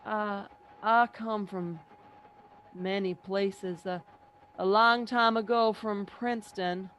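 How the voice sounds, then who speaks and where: A woman as a slow, haunted survivor. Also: mid-range voice, steady tone, a bit bright, a little hiss or hum. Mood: hesitant. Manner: halting, deliberate, repeats words. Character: weary, haunted survivor wandering a ruined land. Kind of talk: radio play reading, dramatic first-person narration.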